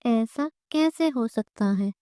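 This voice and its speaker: A woman acts as a prying, scornful neighbour lady from a cartoon tale. The voice is fairly high, with slow, suspicious delivery. The recording is dry. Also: expressive, dark tone, dry recording. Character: nosy, suspicious neighbour woman, disdainful of poor children. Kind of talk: cartoon moral story dialogue.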